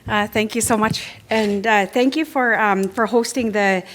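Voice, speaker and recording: A woman with a northern Canadian accent speaks unscripted as a political candidate at a forum, halting and repeating words as she searches for phrasing. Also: medium pitch, background noise. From Northwest Territories, Canada. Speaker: political candidate, former legislator. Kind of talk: unscripted campaign speech at a forum.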